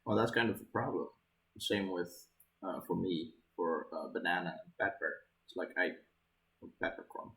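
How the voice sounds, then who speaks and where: A man's voice: low-pitched, moderate pace, a bit bright, poor audio. A man chatting casually with a friend on a stream, matter-of-fact and dry, with a few chuckles. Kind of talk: casual chat.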